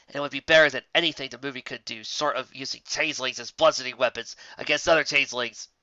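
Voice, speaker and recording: Bright-voiced, expressive story narrator. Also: medium pitch, fast, dry recording, lo-fi sound. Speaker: narrator reading fan fiction aloud. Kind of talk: scripted story narration with casual asides.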